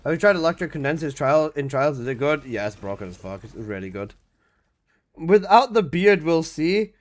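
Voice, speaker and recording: A man in his twenties, casually chatting on a live game stream, reading out chat messages and protesting loudly, with casual swearing. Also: on the high side, very animated, moderate pace. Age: 20s.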